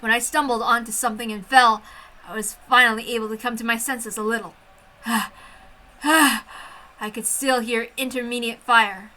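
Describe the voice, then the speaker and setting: A woman reading a first-person story aloud with an expressive, intense dramatic delivery. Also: fairly high pitch, poor audio. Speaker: amateur story reader.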